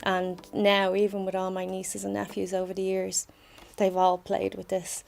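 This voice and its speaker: A woman's voice: mid-range voice, monotone, normal speed, creaky, a little mellow. A middle-aged woman with a Dublin Irish accent reads a personal memorial tribute in a plain-spoken, reminiscing way. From Dublin, Ireland. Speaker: bereaved sister giving a tribute.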